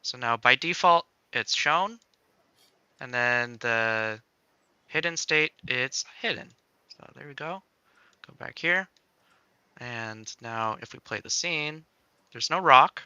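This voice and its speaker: A man hosting a livestream, casually walking through game-making software step by step, thinking aloud in a playful way. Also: medium pitch, lively intonation, takes it slowly, pauses often, clean tone, no echo, highs cut off.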